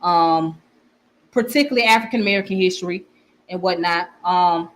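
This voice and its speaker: A woman's voice: fairly low pitch, moderate pace, a bit bright. A woman with a strong Southern accent, hosting a casual livestream where she reads comments and often repeats her phrases. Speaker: channel host.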